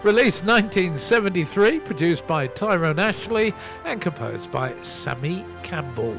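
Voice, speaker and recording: A man presents a soul music radio show in a casual, chatty way. His voice sits a little high, and he pokes fun at himself while running through record details. Some music plays behind him. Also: animated, a little slow, gravelly. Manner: chatty, self-mocking, reading out record details. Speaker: radio host and DJ.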